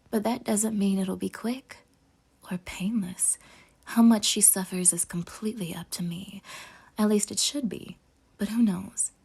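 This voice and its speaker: A woman's voice: mid-range voice, gravelly, a little mellow, slightly rough, full character voice. A woman playing a possessive, sweetly menacing yandere girlfriend in an intimate ASMR roleplay, cooing tenderly and slipping into threatening near-whispers. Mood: cold menace. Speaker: audio roleplay performer.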